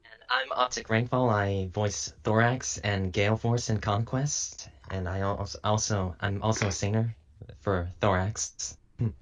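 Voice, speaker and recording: A woman chatting casually in a group, speaking in a hesitant, halting way. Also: a bass voice, steady tone, rough recording. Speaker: amateur voice actor and singer.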